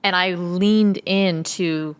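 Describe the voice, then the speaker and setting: A woman voice actor chatting casually about her craft in a giggly, animated way. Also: lowish voice, slow pace, smooth. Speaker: voice actor with theater background. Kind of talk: casual chat about acting process.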